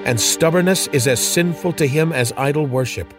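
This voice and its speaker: A man's voice: a little high, moderate pace, over music, voice pushed up, natural voice. A man voicing a stern, unwavering old prophet who rebukes a king with firm, solemn pronouncements. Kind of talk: storybook drama reading.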